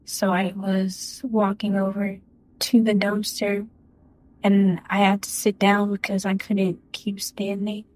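A woman giving courtroom testimony in a halting, hesitant way, with many restarts and fillers. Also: medium pitch, monotone, darkish tone, dry recording, poor audio. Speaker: witness.

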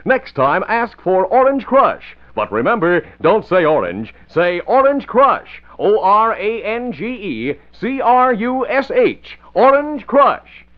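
A man's voice: high voice, big pitch swings, takes it slowly, few pauses. A man announcing and narrating in a polished, emphatic 1940s radio style, reading from a script. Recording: muffled vintage radio broadcast recording.

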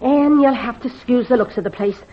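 A woman playing a gruff but kindhearted rural foster mother in an old-time radio drama, husky, folksy and scolding with a dry wit. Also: fairly high pitch, brisk, close to an everyday voice. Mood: wry. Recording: muffled old radio recording.

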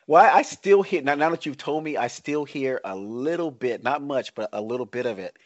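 A man's voice: mid-range voice, lively intonation, fairly quick, no room sound, highs cut off. Podcast host: American male, middle-aged, mid-range voice, dry studio-like sound, presenting and interviewing. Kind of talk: podcast hosting, scripted intro and interview chat.

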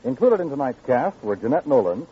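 A male announcer from the golden age of radio reads credits formally and precisely. His pitch moves in a lively way, and the old recording sounds dull. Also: fairly quick. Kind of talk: 1940s-50s radio announcing, scripted credits.

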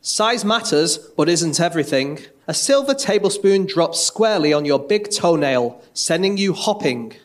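Higher-voiced man reading dramatically. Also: expressive, normal speed, hardly any pauses. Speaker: podcast panellist reading a paper aloud.

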